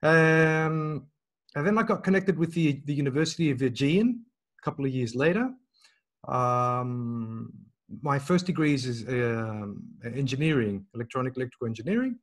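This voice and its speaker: A man's voice: medium pitch, expressive, normal speed. Hesitant man from Australia on a video call. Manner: hesitant, many ums and restarts. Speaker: language school owner. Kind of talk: casual video call chat.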